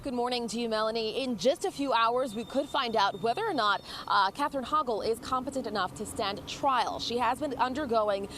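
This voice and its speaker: A woman reports live from the field for a morning newscast, keeping her delivery measured. Her voice is fairly high, with faint background hiss. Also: a bit bright.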